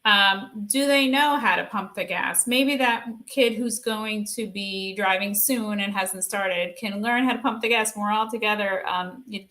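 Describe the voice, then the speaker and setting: Bright, higher-voiced woman chatting on a panel. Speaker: panel speaker, parent. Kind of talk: casual panel chat, sharing parenting tips.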